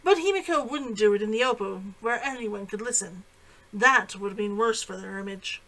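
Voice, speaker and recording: A woman narrating her own novel in an expressive, storytelling manner, as a scripted read-aloud. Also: mid-range voice, normal speed, low-quality recording. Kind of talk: scripted audiobook-style narration.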